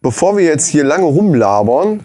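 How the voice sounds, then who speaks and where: A man's voice: a little high, sing-song, normal speed, warm tone. Joking, crude podcast co-host man. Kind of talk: casual chat. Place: small room, seated across a table. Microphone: handheld audio recorder on a table.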